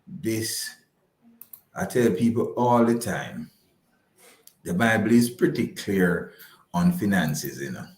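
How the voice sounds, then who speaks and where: A man with a fairly low voice talks as an advisor being interviewed. He builds his points haltingly, doubling back on words. The sound is noticeably roomy and echoing. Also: expressive. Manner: halting, repeats words while forming points. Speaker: guest financial advisor. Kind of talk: conversational interview answers on faith and finances.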